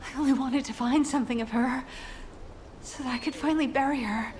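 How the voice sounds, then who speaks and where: A woman's voice: high voice, a little fast, darkish tone, close to an everyday voice. A woman playing an emotional mother and soldier, relieved over her daughter, in dramatic video game acting. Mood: relieved, tearful.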